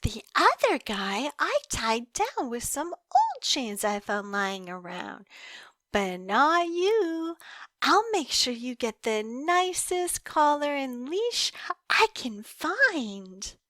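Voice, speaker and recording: Woman playing a slow, cooing, obsessive young yandere girl. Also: high-pitched, big pitch swings, a bit bright, dry recording, full character voice. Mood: adoring, obsessive. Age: young adult. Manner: cooing, teasing, slow and deliberate, breaking into whispers. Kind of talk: intimate scripted roleplay monologue.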